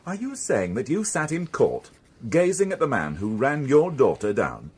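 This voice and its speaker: Dry grumbly police inspector in a radio play, husky, very up and down, wry. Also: male voice, medium pitch, sing-song, normal speed, darkish tone. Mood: probing, stern. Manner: wry, indignant, sardonic. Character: dry, grumbling male police inspector, mildly old-fashioned. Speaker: actor. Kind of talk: radio drama acting.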